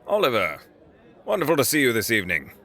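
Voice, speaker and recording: A man playing a polite businessman gentleman with dry humor and a courteous, formal manner in an audio drama. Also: medium pitch, huge pitch swings, fast talker, full character voice. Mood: courteous, wry.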